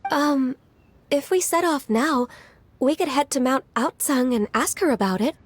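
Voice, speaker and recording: An actress playing a gentle, shy young woman in a video game, soft-spoken, earnest and a little hesitant. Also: high voice, lively intonation, unhurried, dry recording. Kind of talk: video game character acting. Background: music.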